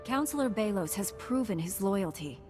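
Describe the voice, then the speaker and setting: A woman's voice: mid-range voice, lively intonation, moderate pace, close to an everyday voice. An actress playing a self-assured heroic woman knight in a video game, speaking firmly and directly. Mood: confident. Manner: firm, composed, direct. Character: resolute, self-assured female Jedi knight hero. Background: game music.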